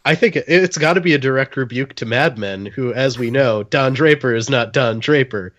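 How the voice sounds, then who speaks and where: A man's voice: medium pitch, moderate pace, a bit bright, no room sound, highs cut off. A man with a mid-range voice talks as a podcast co-host in a casual, joking style. His delivery is wry, and the sound is dry with very little room. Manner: wry, jokey. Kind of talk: casual comedic film chat, reading article passages aloud.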